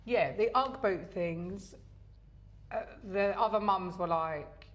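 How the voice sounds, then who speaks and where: A woman's voice: medium pitch, slow pace, muffled recording. A middle-aged woman from England with a Southern English accent, co-hosting a podcast and telling stories in casual chat, with lots of fillers and restarts. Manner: chatty storyteller with frequent fillers and restarts. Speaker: podcast co-host.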